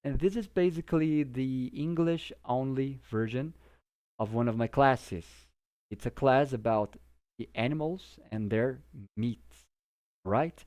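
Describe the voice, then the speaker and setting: A man's voice: medium pitch, unhurried, darkish tone. A Brazilian man, a native Portuguese speaker, giving an informal English vocabulary lesson in simple explanations, often checking that the listener follows. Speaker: English teacher.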